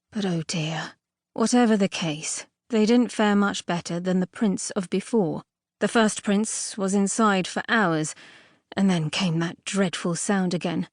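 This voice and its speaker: A woman reading a storybook fairy tale as a scripted audiobook narration, expressively and a little primly, with dramatic emphasis and pauses. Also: medium pitch, no room sound. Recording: dry close studio audiobook recording.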